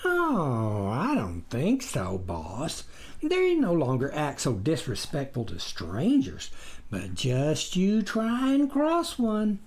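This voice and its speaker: A man's voice: on the high side, huge pitch swings, takes it slowly, a little mellow, heavily acted. A man voicing an eager, persuasive tempter in an audiobook, with a wheedling, needling, folksy manner. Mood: coaxing, goading. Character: Snuffer, eager, persuasive imaginary tempter partner. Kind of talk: audiobook character voice.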